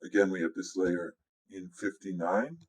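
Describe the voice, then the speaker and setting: Low-pitched man giving a casual tutorial. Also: steady tone, normal speed, rough recording. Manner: explanatory, informal. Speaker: music producer giving a tutorial. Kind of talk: casual software walkthrough. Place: indoors, voice-over on a screen recording.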